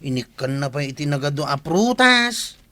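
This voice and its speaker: A man's voice: on the high side, big pitch swings, moderate pace, pushed and bright, dry recording. A man with an Ilocano accent playing a needling village gossip in a radio comedy drama. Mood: teasing.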